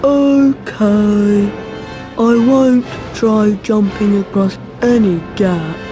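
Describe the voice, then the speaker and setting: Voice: mid-range voice, very slow, over music. An actor playing a kindly, earnest schoolboy wizard hero in a video game, polite and hesitant. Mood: concerned.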